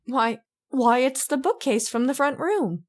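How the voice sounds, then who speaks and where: An actress playing a frightened heiress in an old-time radio horror drama. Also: female voice, high-pitched, animated, measured pace, a little hiss or hum. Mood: bewildered. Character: frightened heiress freed from a vampire's spell.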